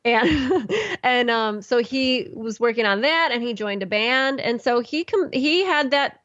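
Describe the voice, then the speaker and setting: A woman's voice: fairly high pitch, animated, unhurried, fairly bright tone, dry recording, dull audio. A woman who is a classical musician, chatting on a podcast in a rambling way, with restarts and ums. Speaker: classical musician and podcast host, guest here. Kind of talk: casual podcast conversation.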